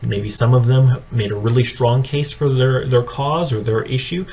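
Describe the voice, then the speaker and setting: A man giving an instructional presentation like a coach, explaining in a measured, matter-of-fact way. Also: mid-range voice, a little mellow. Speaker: speech and debate coach training judges. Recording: clearly audible background noise.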